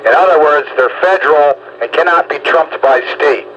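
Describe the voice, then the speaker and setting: A man's voice: fairly high pitch, moderate pace, fairly bright tone. Insistent American man on dull lo-fi audio. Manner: opinionated, insistent, stammering repeats of words. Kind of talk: casual political discussion. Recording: dull lo-fi radio audio, faint noise.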